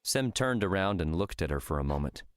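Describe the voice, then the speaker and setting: A man narrating a scripted fiction audiobook in a measured storytelling manner. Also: deep voice, expressive, no room sound, clean studio sound.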